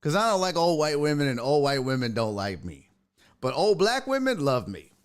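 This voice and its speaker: Animated, lively-pitched male streamer. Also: moderate pace, clear, resonant tone. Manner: animated, joking storyteller who reads out chat messages. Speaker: streamer and sports talk host. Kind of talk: casual livestream chat.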